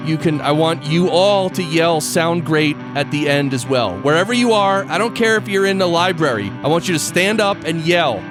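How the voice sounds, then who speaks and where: A man's voice: on the high side, expressive, normal speed, hardly any pauses, over music. A man hosting a podcast in casual, upbeat interview chat. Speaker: podcast host.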